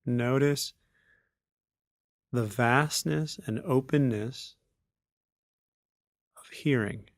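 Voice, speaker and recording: Slow, creaky-voiced man guiding a meditation. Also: medium pitch, dry recording, studio quality. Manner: unhurried, measured phrases with long pauses. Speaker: meditation teacher. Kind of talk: guided meditation.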